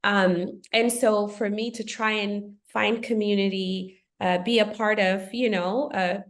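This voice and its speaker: A woman's voice: medium pitch, steady tone, a little slow. A woman from Ethiopia, a podcast guest, speaking in a thoughtful, earnest way with frequent fillers. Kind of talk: podcast conversation.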